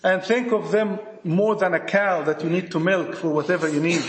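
High-voiced Egyptian-accented preacher in an echoey hall. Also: male voice, fairly even intonation, moderate pace, background noise. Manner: emphatic, repetitive, rhetorical questions to the congregation. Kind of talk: sermon. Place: church hall with a congregation. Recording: echoey room.

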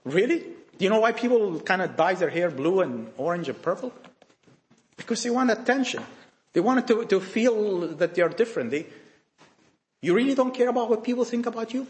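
A male preacher with a high voice that swings widely in pitch as he drives his points home. He fires rhetorical questions at the young people in front of him, repeating phrases and breaking off mid-sentence to start again. His voice rings around an echoey church. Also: expressive, moderate pace, a little mellow. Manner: emphatic, full of rhetorical questions.

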